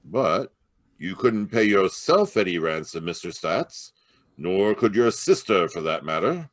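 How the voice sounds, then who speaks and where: Man acting as animated, shrewd police inspector. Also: low-pitched, a little slow, no room sound, studio quality, exaggerated voice. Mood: accusing. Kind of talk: old-time radio mystery acting. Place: live video call at home. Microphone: video call.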